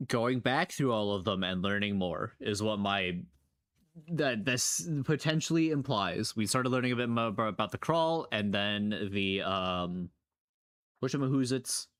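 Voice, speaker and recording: A man's voice: lowish voice, lively intonation, a little slow, fairly bright tone, dry recording, clean studio sound. A man streaming casual reaction commentary, rambling and playful, often questioning what he watches. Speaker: streamer reacting to horror videos.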